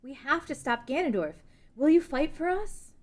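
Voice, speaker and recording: A woman's voice: high voice, animated, moderate pace. A woman reading a compassionate, resolute young princess in a table read of a fan script.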